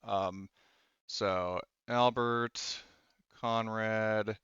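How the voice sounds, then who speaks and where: A man acting as game master of a tabletop roleplaying game, explaining things conversationally in a halting, thinking-aloud way with trailing asides. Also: on the low side, steady tone, a bit bright, clear, resonant tone, no room sound, lo-fi sound.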